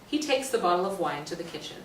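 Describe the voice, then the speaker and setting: A woman with a fairly low voice narrates stage directions in a scripted reading. She is recorded from a distance in a roomy space, with faint noise around her. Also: very animated, fast talker, a bit bright.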